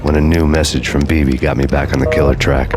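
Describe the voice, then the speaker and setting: An American man playing a world-weary, vengeful hardboiled detective in a video game, narrating in a brooding, deadpan noir style. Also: rumbling low, quick pace. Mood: brooding, bleak. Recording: loud music behind the voice.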